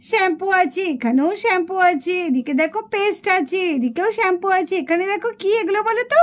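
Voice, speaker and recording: A woman's voice: very high-pitched, lively intonation, quick pace. A woman voicing an instructive schoolteacher doll in a children's puppet cartoon. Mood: cheerful, instructive. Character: female schoolteacher doll running a contest. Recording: dull lo-fi sound.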